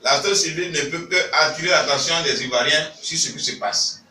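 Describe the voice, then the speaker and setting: A man's voice: on the high side, a little fast, recorded from a distance, a little hiss or hum. A man from Ivory Coast speaking at a press conference, emphatic and raising his voice to stress points. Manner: emphatic, rising to shouts when stressing points. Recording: echoey room, voice from off-mic.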